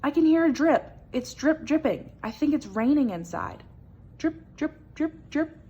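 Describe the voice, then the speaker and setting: A woman's voice: sits high, very animated, unhurried, mellow, muffled recording, slight background noise, voice pushed up. A woman voicing a frightened, needy little boy mouse for a children's storybook, calling out plaintively and insistently. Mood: anxious, plaintive. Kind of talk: children's storybook read-aloud.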